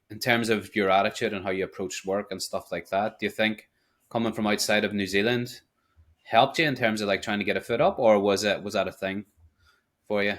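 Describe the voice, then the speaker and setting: A man's voice: lowish voice, a bit bright. A man hosting a podcast, asking long, looping questions with restarts. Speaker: podcast host. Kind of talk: interviewing.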